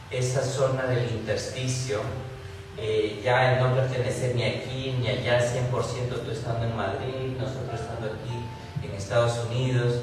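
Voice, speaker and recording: Man from Peru, panel author; reflective, halting delivery; mid pitch, distant in a booming hall, music under. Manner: reflective, thinking aloud with restarts. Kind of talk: literary panel discussion. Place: large university hall.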